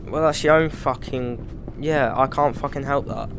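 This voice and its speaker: A man with a British accent giving rambling, casual commentary over his gameplay, swearing freely and filling pauses with "like" and "um". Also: mid-range voice, background noise. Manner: rambling, frequent swearing and fillers. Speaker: gaming YouTuber.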